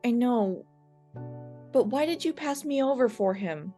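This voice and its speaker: A woman's voice: a little high, lively intonation, a little slow, dark tone, soft background music. A woman playing a young, troubled female mouse in an audio drama, earnest and plaintive. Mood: regretful.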